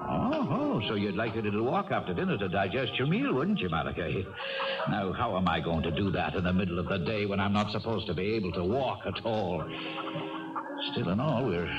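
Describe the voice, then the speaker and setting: An actor playing a wily, cheerful old Irish ex-jockey with lilting, flowery blarney in 1970s radio drama style. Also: male voice, lowish voice, recorded from a distance. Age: older. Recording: dull old radio broadcast, sometimes loud music.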